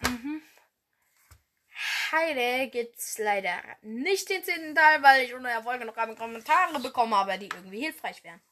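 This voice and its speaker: A young woman with a fairly high voice talks casually into a close mic at home, hosting her own podcast. She rambles with many fillers and bits of slang. Her nose is stuffed up from a cold. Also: lively intonation, moderate pace, bright, forceful tone. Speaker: podcast host. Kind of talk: casual fan podcast monologue.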